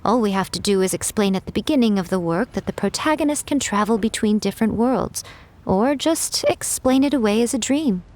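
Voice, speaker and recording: A woman playing a poised, playful fox shrine maiden in a video game, smooth, composed and teasing in manner. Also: medium pitch, lively intonation, fairly quick, a little mellow, natural voice. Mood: musing, playful.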